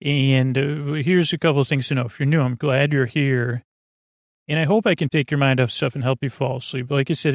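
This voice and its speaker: Creaky-voiced man rambling through a bedtime podcast. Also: mid-range voice, no echo, studio quality. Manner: meandering, full of filler words and tangents; goofy, absurd, self-deprecating. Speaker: podcast host. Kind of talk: rambling bedtime monologue to help listeners sleep.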